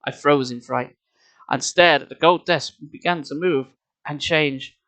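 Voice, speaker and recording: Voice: medium pitch, unhurried, fairly bright tone. A storyteller reading a children's book expressively, slipping in casual, wry and mocking asides. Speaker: storyteller, live streamer.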